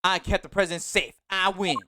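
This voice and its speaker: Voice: medium pitch, steady tone, brisk, noisy background, lightly acted. A streamer doing a smug, boastful male bodyguard. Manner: boastful, sneering, speaks in chess terms. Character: arrogant scheming male bodyguard. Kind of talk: fan dub of a story game on stream.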